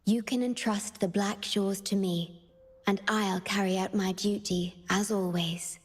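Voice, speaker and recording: An actress playing a gentle, devoted young woman guardian in a video game, speaking softly and tenderly in measured scripted dialogue. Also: medium pitch, fairly even intonation, faint music behind. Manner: soft, breathy, tender, measured.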